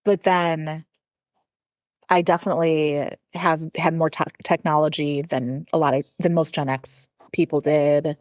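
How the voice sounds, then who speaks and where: A woman's voice: deep voice, steady tone, a little slow, a little mellow, no room sound, studio quality. A woman in her forties chatting informally and in a self-deprecating way as a podcast guest. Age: 40s. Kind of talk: casual conversational interview.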